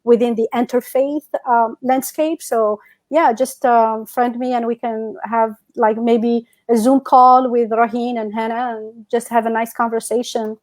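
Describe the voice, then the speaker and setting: A woman's voice: fairly high pitch, flat delivery, measured pace, hardly any pauses. A woman from North Africa whose first language is Berber, chatting as a guest on a video call. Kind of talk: casual interview over a video call.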